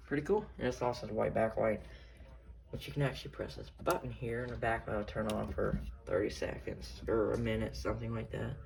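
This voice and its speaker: A man's voice: medium pitch, creaky. A man giving a casual, hands-on show-and-tell as a collector, rambling and often correcting himself as he goes. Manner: halting, rambling, self-correcting. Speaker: radio collector showing a collection. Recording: noisy room with clicks.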